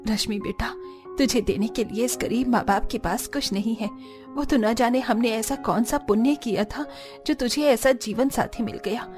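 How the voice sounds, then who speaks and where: A woman's voice: a little high, moderate pace, music in the background, natural voice. A woman voicing a tender, humble, poor middle-aged mother in a cartoon story.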